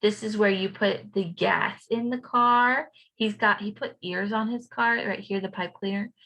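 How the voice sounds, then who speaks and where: A woman speaking to children as a teacher, explaining a craft activity in an animated, simple way. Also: medium pitch, a little slow, rough recording. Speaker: teacher reading aloud and leading a children's activity. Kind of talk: children's storybook read-aloud and craft instruction.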